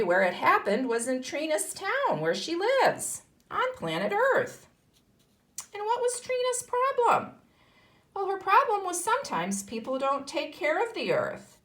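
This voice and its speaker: A woman's voice: on the high side, a bit bright. A woman with an American accent teaching young children, instructive, posing questions and answering them herself. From United States. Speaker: kindergarten teacher. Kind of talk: read-aloud lesson for young children.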